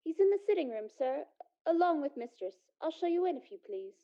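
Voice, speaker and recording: A woman's voice: high-pitched, sing-song, fairly quick, highs cut off. A woman playing a polite, deferential young housemaid in a radio play.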